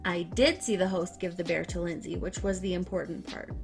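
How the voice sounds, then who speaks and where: A woman's voice: lowish voice, a little fast, vocal fry, faint music behind. Woman reading revenge stories aloud. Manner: animated, swings from calm reading to loud excited outbursts. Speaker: story narrator.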